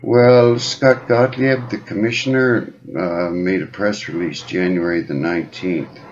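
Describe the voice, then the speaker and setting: An insistent, rambling man making an informal complaint over the phone as a caller. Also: low voice. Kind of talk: phone call, informal advocacy talk. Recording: dull lo-fi phone call recording.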